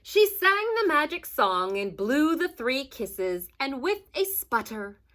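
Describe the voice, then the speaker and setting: A woman with a high, very lively voice reads a picture book to young children. She is expressive and takes her time, stressing the drama like a teacher and adding asides for her listeners. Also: slow pace. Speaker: teacher reading aloud to first graders. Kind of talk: animated children's storybook read-aloud with asides to the listeners.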